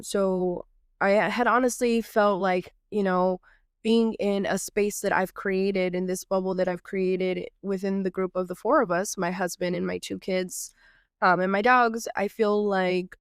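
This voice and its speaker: A woman's voice: mid-range voice, smooth, no room sound. Female podcast host from Texas, nasal from a cold, clean dry close-mic voice, earnest reflective delivery, improvised feel.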